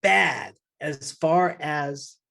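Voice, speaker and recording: A man's voice: a little high, lively intonation, very slow, gravelly, bright, forceful tone. A man speaking as a co-host in a casual, informal chat.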